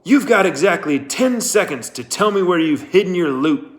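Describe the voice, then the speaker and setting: Storybook outlaw, read by a man: male gunman, impatient, menacing, raised pitch, barking demands. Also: animated, moderate pace. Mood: threatening.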